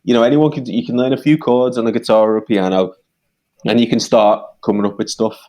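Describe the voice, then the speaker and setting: A man's voice: mid-range voice, fairly even intonation, fast talker, dark tone. A man with a Liverpool accent, a songwriter co-hosting a podcast, talking earnestly and repeating himself as he finds his words. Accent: Liverpool English. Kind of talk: casual chat.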